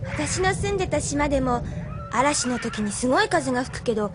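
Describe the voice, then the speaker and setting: A woman's voice: high voice, animated, moderate pace, noisy background. A woman acting a spirited, curious, outspoken young island woman in a Japanese audio drama. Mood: wistful, chatty.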